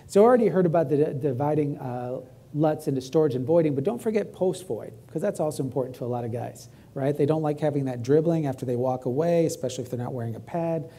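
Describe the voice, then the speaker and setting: A gravelly, husky-voiced man with a drawl, middle-aged, giving a urology talk from a lecture-hall stage. He keeps it conversational and often checks in with "right?". His tone sits dark and mellow. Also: a little high, fast. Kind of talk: medical grand rounds lecture.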